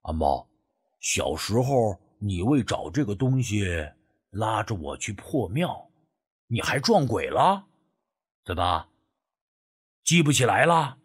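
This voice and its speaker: A man's voice: lowish voice, sing-song, takes it slowly, long pauses, slightly rough, voice pushed down, heavily acted. A man voicing a sly, teasing, superstitious friend who wheedles and drawls in an audiobook story. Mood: coaxing, mysterious.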